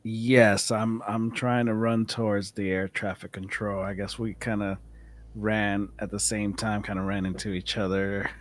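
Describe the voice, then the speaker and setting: A man casually narrating his character's moves in a tabletop game, halting and easygoing. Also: on the low side, little pitch movement.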